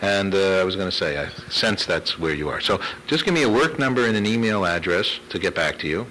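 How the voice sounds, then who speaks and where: A man's voice: low voice, big pitch swings, fairly quick, pushed and bright, a little hiss or hum, poor audio. A man hosting a casual radio phone interview, chatty, laughing often as he reads through a list. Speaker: radio show host and producer.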